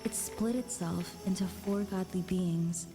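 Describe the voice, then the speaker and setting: A woman's voice: fairly low pitch, measured pace. A woman reading scripted narration in a solemn, formal storytelling manner. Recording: music under narration, played from video. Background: music.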